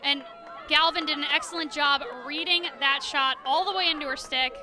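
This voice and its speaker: American female color analyst, high register, conversational and explanatory, live outdoor stadium with crowd noise. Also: fairly even intonation, moderate pace. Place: outdoor stadium broadcast position. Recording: crowd and music behind, outdoors. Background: crowd and music.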